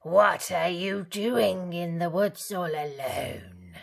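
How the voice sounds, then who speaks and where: Sly storybook wolf, growling, croaky, slow and drawn out, put on by a woman. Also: lowish voice, sing-song, full character voice. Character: big hungry male wolf, sly and menacing.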